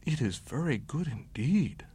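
A man voicing a great silver male wolf who speaks slowly, gently and deliberately. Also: mid-range voice, lively intonation, husky, pitched above the performer's own voice. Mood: gentle.

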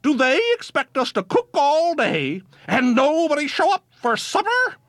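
Man doing cross country mothers: shrill, sharp, loud, lively pitch, in a dry close sound. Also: a little slow, no echo, exaggerated voice. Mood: indignant. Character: fed-up farm wives, indignant and loud.

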